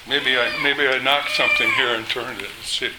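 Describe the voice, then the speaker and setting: An older man preaching a sermon, reading scripture aloud with emphatic, drawn-out phrasing and a loud voice. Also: mid-range voice, fairly even intonation, heavy background noise. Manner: slow, emphatic delivery, rises when quoting scripture.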